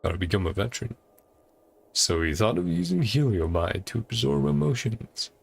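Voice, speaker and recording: A man's voice: fairly low pitch, big pitch swings, normal speed, vocal fry, breathy edge. A man giving casual gameplay commentary, musing aloud and paraphrasing story dialogue in short clipped phrases. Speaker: game streamer.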